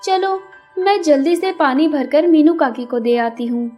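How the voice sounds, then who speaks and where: A woman plays a young female bird in a children's cartoon. The voice is high and gentle, with an earnest, helpful manner suited to a kind water carrier. Faint music sits underneath. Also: measured pace. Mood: cheerful, dutiful. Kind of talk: children's cartoon character acting.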